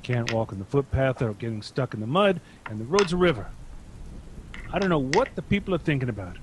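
A man's voice: medium pitch, sing-song, brisk, noisy background, close to an everyday voice. An actor playing a querulous, blustery older father in a radio drama. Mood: grumbling. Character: grumbling, excitable older father at home.

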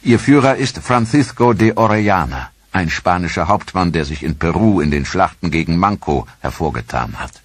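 A man with a deep, slightly husky voice narrates a history documentary from a script. His delivery is measured and even. The close studio recording is dry, with no room sound. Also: dry recording.

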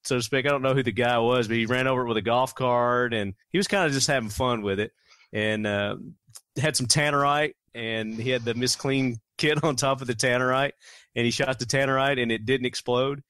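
Relaxed man hosting a dry-sounding podcast. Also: medium pitch, brisk, no room sound. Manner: relaxed, chuckling, explains products. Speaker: podcast host. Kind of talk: casual interview chat.

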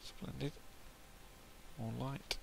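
A man with a hoarse throat, quietly muttering casual gameplay commentary to himself as he builds. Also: extremely slow. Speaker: gameplay video host. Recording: home mic with loud background noise. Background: cows mooing in the game.

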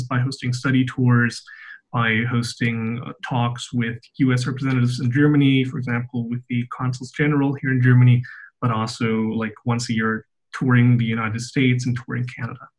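A man's voice: medium pitch, little pitch movement, normal speed, poor audio. Articulate young man. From Austria. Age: 20s. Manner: articulate, explanatory, lightly joking. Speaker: podcast guest. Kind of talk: casual discussion.

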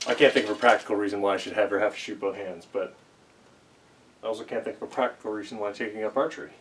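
A man's voice: fairly low pitch, fairly even intonation, fast, a bit bright, a little hiss or hum. Rambling young man on a distant camera mic. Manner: rambling, self-deprecating, many fillers. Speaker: archery vlogger. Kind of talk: casual musing vlog. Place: small basement archery range, indoors. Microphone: camera mic at a few meters while he moves and shoots.

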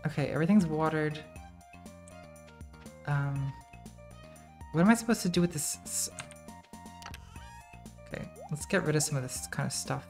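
A woman in her thirties with a very soft, quiet voice, chatting casually to her live-stream chat while playing a game, self-deprecating and laughing often. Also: deep voice, normal speed, long pauses, faint music behind. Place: home streaming room, indoors.

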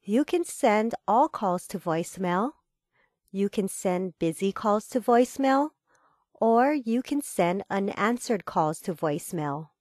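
Woman narrating a tutorial, dry and measured. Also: medium pitch, expressive, darkish tone, dry recording. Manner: measured, formal, step-by-step. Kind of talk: scripted instructional narration.

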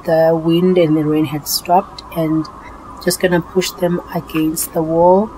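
A South African woman narrating her cleaning vlog in a relaxed, chatty voice-over, often repeating herself. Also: deep voice, a little hiss or hum, rough recording. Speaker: home cleaning vlogger.

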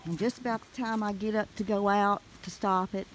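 Southern lady telling a story on her phone outside, folksy n animated, some noise behind her. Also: mid-range voice, fairly quick. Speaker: homestead vlogger. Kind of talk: casual storytelling to camera. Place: outdoors in a wooded rural yard. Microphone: handheld phone. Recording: noisy outdoor phone recording.

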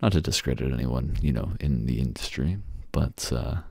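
A man's voice: extremely low pitch, normal speed, gravelly, a little mellow, breathy edge, no echo, studio quality. A man giving a casual ASMR voice demo, rambling along in a self-deprecating way. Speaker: voice actor giving samples.

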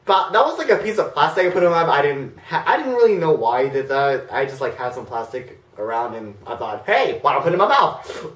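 A teenage boy reacting to his own old videos in his bedroom, embarrassed and excitable, jumping between mutters and yelps. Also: on the high side, fast talker, roomy sound, recorded from a distance, poor audio. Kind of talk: casual reaction commentary. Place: bedroom, indoors, hugging a big stuffed bear.

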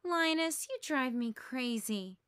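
A woman's voice: sits high, expressive, slow pace, fairly bright tone, clean tone, exaggerated voice. A woman voicing a bossy, crabby little girl in a storybook, with a pushy, emphatic delivery. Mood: exasperated.

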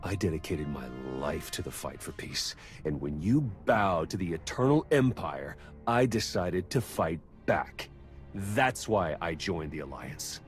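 An earnest agent in a video game cutscene. His voice sits low, and he speaks plainly and urgently with a wry, wary edge. Faint music runs underneath. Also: very animated, measured pace. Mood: grave, alarmed. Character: earnest, wary male rebel agent and tech expert.

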